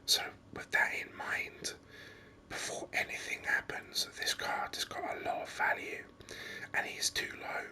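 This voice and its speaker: A gaming host whispers ASMR commentary close to the microphone. The voice is breathy and almost entirely unvoiced, with a very crisp, sharp brightness. The delivery is soft and unhurried, explaining things step by step.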